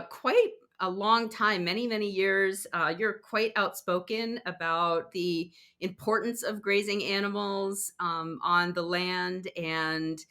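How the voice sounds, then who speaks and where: A woman's voice: medium pitch, pushed and bright. A woman hosting a podcast interview, friendly and hesitant, with many fillers. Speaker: podcast host.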